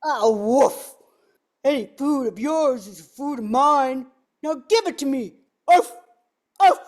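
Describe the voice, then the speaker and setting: A woman's voice: sits high, expressive, slow pace, a little mellow. A woman voicing an eager, lovestruck golden retriever in an amateur radio play, exclaiming with spoken barks. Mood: demanding, hungry.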